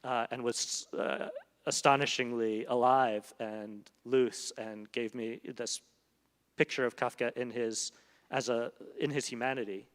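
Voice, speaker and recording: A man's voice: medium pitch, steady tone, unhurried. A man, a literary translator, talking onstage in a thoughtful, hesitant way with many fillers. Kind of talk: onstage conversation. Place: event hall. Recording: long reverb of a hall.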